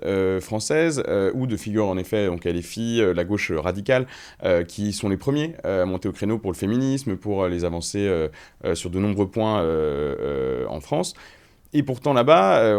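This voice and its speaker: A male interviewer with a fairly low voice. He puts his questions to the guest haltingly, with frequent fillers and false starts. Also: a little mellow, clear, resonant tone. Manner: hesitant, many fillers and restarts.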